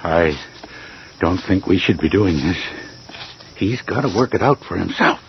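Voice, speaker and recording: Wary graveyard companion, radio horror; deep husky voice, animated pitch swings. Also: male voice, measured pace, slight background noise, heavily acted. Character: wary, reluctant man uneasy in a graveyard. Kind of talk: radio horror drama acting. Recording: muffled old radio drama recording.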